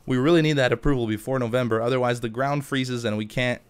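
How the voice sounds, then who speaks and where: A man with a mid-range voice commentates casually over a video game. He rambles and thinks out loud, drifting between the game and answering questions in an informal, chatty way. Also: mid-range voice, steady tone, quick pace, smooth. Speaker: gaming YouTuber.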